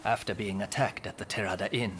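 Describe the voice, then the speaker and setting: A male actor serves as a solemn chronicler of samurai history in a video game. His voice is low and his narration scripted, with clear ambient noise behind it. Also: steady tone, a little fast, close to an everyday voice.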